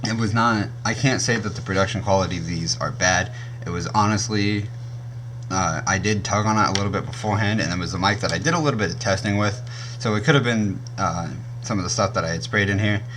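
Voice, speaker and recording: A young man with a naturally deep voice, casually reviewing gear on his channel and often stopping to correct himself. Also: bright, forceful tone, slight background noise, rough recording. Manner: rambling, self-correcting, frequent um and uh.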